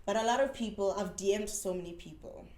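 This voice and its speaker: A woman's voice: medium pitch, fast, roomy sound. A South African woman in her twenties, a dancer talking candidly and animatedly as an interview guest. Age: 20s. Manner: candid, animated storytelling with frequent "like" and "man". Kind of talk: casual interview chat.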